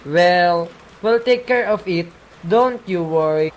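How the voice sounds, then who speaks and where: Man acting high-voiced, placating police sergeant. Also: slow pace. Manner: placating, matter-of-fact. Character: patient, dismissive police sergeant taking a call. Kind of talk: amateur radio play acting.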